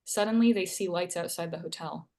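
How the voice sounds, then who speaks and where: A woman's voice: on the low side, fairly quick, a little mellow. A woman reading scene headings and stage directions aloud as narrator at a screenplay table read.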